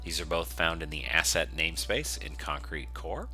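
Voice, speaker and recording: A man giving an informal, step-by-step software tutorial as a developer walking through code. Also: a bass voice, expressive, moderate pace. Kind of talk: screencast coding tutorial, semi-improvised walkthrough.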